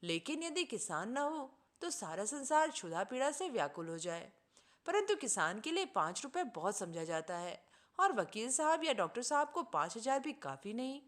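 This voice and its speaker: Woman reading as an earnest, animated idealist man. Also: a little high, normal speed. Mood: earnest, reasoning. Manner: earnest, oratorical, formal. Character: idealistic, indignant man preaching justice and selfless service.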